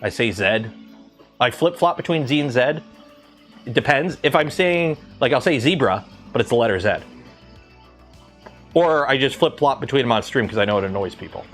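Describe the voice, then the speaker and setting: A man with a light Southern Ontario Canadian accent chats loosely on a livestream, wandering off-topic with lots of fillers and restarts. His voice sits in an ordinary middle pitch. Soft music plays faintly behind him. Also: lively intonation, normal speed, long pauses. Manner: rambling, chatty, many fillers and restarts. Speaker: 3D printing livestreamer. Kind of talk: casual livestream chat.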